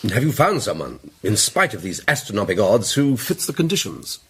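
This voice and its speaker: A man's voice: lowish voice, very animated, brisk, fairly bright tone, husky, exaggerated voice. An actor playing a probing male member of a detective club, formal and precise, in a period mystery drama. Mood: probing, sceptical. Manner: formal, questioning. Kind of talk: British period mystery radio drama acting.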